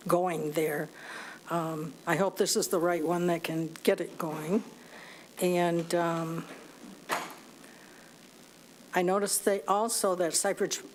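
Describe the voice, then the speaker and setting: A woman with a soft voice speaking at a podium microphone during public comment, halting and full of fillers. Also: lowish voice, rough recording. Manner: hesitant, halting with many fillers. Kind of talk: public comment at a city meeting. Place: city meeting chamber.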